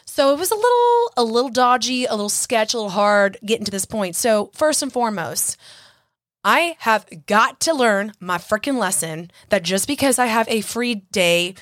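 A young woman hosting a solo podcast, chatty and self-mocking, her voice husky and a little hoarse from congestion. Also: fairly high pitch, animated, normal speed, fairly bright tone, dry recording, clean studio sound. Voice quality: husky, raspy. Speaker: podcast host.

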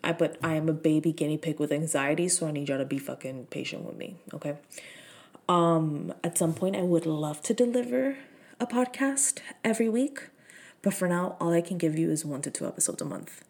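A woman's voice: sits low, expressive, a little fast, a little mellow. A woman, hosting her own podcast in frank, profane, filler-heavy casual chat. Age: 30s. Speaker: podcast host.

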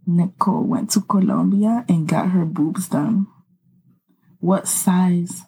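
A woman's voice: fairly low pitch, slow, creaky, warm tone, husky, low-quality recording. A young woman co-hosting a podcast in casual chat, playful and teasing, laughing often. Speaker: podcast co-host.

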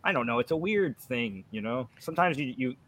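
A man with a medium-pitched voice hosts a relaxed, informal conversation. He swears freely as he talks and readily pokes fun at himself. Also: fairly quick. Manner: profane, laughs easily; self-deprecating. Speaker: book review channel host and aspiring writer. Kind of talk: casual chat.

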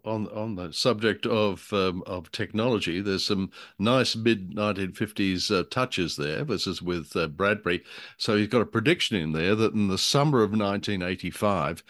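Low-voiced man, dry close recording. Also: moderate pace, a bit bright, dry recording. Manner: hesitant, wryly humorous storytelling. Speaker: podcast co-host. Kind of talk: casual discussion of a radio drama.

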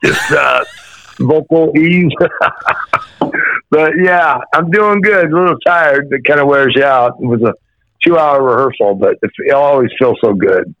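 Male musician calling in, a bit rough-voiced, meandering and halting, on a muffled dry line. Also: a little high, fairly bright tone, no echo. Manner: rambling, many restarts and fillers. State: slightly rough voice after rehearsal. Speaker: musician guest on the phone. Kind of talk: phone interview, reminiscing. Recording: phone call, dull and dry.

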